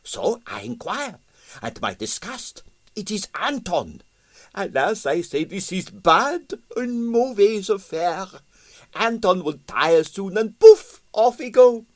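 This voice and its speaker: A man voicing an excitable, boastful Russian ballet impresario, theatrical in broken English with bits of French. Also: high voice, slow pace, no echo, pitched above the performer's own voice, exaggerated voice. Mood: confiding, cheerful.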